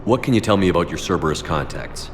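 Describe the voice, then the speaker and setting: A man's voice: deep voice, expressive, fast talker, slight background noise. A man playing a stern, authoritative space military commander in a video game, terse and dry in his delivery.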